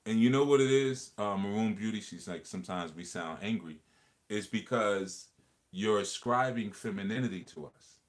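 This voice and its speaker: A man's voice: lowish voice, normal speed. A man hosting a casual livestream discussion, talking earnestly and reflectively and often repeating words as he builds a point. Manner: earnest, reflective, repeats phrases while explaining. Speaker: livestream host.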